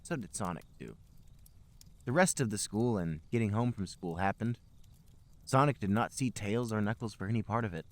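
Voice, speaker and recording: A man's voice: on the low side, expressive, normal speed. A man co-hosting a podcast, narrating amateur fan fiction aloud.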